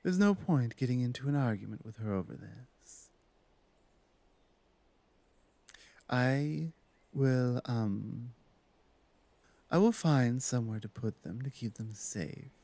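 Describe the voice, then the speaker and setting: A man playing a bold, protective female orc huntress in an ASMR roleplay drama, talking in a firm, deliberate way. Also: medium pitch, animated, vocal fry, dark tone, no room sound. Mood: resigned, caring.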